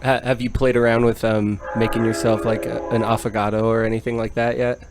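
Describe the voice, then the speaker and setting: A man's voice: fairly low pitch, flat delivery, fairly quick, over music. A man born in Utah co-hosting a podcast, chiming in with brief, agreeable remarks. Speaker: podcast co-host. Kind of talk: casual podcast chat. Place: studio.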